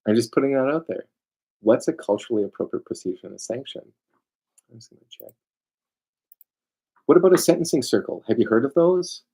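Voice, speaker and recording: A man's voice: medium pitch, expressive, fairly quick, creaky, pauses often, mellow, breathy edge. A man giving an informal lecture as a lawyer, emphatic and digressive, often throwing rhetorical questions at his listeners. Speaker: lawyer presenting a seminar.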